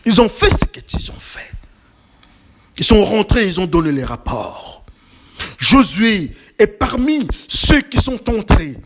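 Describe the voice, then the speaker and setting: A man's voice: high voice, very animated, slow pace. A man preaching a sermon with emphatic, building repetitions and rhetorical questions, slightly congested from a cold.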